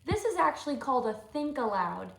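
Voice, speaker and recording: A woman's voice: high-pitched, very animated, fairly quick, creaky, a bit bright. A woman teacher reading a storybook aloud to children, with thoughtful questions and asides. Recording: echoey room with faint noise.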